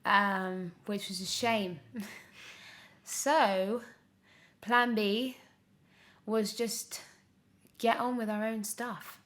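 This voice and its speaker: A woman in her twenties chatting casually as an interview guest, candid and animated, often correcting herself mid-thought. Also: medium pitch, extremely slow. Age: 20s. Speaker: guest, young actor.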